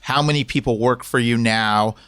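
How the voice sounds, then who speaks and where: A man's voice: mid-range voice, fairly bright tone, dry recording. A man hosting an interview, friendly and encouraging, prompting his guest with questions. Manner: friendly, encouraging, quick short replies. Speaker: podcast host. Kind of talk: casual interview.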